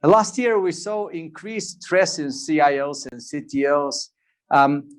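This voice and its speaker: A man hosting a virtual interview, speaking hesitantly with many fillers. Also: on the high side. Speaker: interviewer, host.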